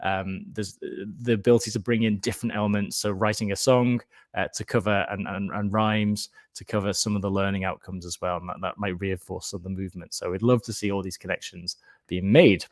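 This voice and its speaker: A man's voice: low voice, fairly even intonation, fairly quick. A man hosting an informal online presentation of an educational resource, speaking in an enthusiastic but hesitant, discursive way.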